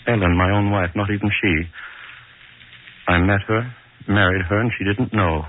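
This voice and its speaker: A man's voice: on the low side, fairly even intonation, moderate pace, slight background noise, close to an everyday voice. An actor playing a tormented middle-aged hangman, brooding and repeating himself in 1940s radio horror style. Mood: bitter, haunted. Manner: brooding, repetitive, melodramatic. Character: tormented middle-aged hangman, bitter, haunted, guilt-ridden. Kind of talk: 1940s radio horror acting, inner monologue. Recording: muffled vintage 1940s radio recording.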